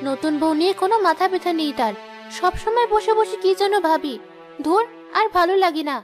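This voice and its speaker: Casting: woman as young cartoon princess, extremely high voice, petulant and discontented, music behind. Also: lively intonation, a little fast. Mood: annoyed. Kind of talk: animated fairy-tale dialogue.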